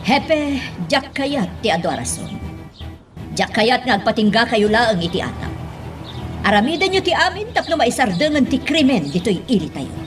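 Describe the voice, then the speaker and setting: A woman's voice: a little high, very animated, moderate pace, over music. A woman acting expressively in an Ilocano radio drama. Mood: stern, demanding. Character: female household figures and a demanding town mayor.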